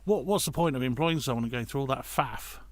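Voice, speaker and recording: A man chats informally on a podcast. His voice has an average male pitch, and he talks in a relaxed conversational style, reasoning things through with the others. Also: quick pace. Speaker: podcast participant. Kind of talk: casual conversational chat.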